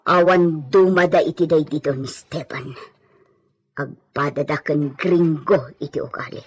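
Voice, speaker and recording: A woman playing a money-hungry, resentful village gossip in an Ilocano audio drama, with a husky voice. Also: low voice, unhurried, a little mellow. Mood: agreeing.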